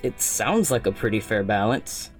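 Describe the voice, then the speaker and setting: A woman's voice: a bass voice, animated, normal speed, soft background music, low-quality recording. A woman playing a frank, casual, protective friend in a naturalistic audio drama, with blunt, slangy phrasing. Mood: easygoing.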